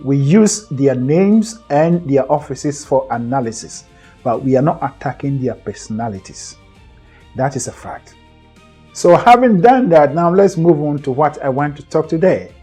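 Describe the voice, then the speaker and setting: A man's voice: on the high side, expressive, measured pace, dark tone, slightly rough, faint music behind. A middle-aged man hosting a motivational talk, earnest and preacherly, often repeating and rephrasing his points. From Ghana. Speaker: host and co-founder of a mindset group. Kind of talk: motivational lecture to camera.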